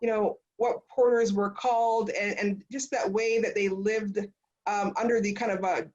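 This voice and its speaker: A woman's voice: medium pitch, fairly even intonation, normal speed, a little mellow, dull audio. A woman moderating a panel discussion, phrasing her comments and questions tentatively and reflectively.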